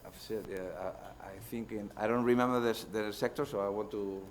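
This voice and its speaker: A man on a university seminar panel, speaking haltingly with many fillers. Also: fairly low pitch, steady tone, slight background noise. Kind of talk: academic panel discussion.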